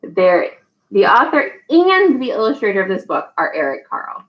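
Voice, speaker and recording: A woman teacher leading a storytime for young children in an animated, emphatic manner, reading aloud to them. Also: medium pitch, normal speed, dull audio. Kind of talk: children's read-aloud and singalong. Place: indoors at home. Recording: echoey room.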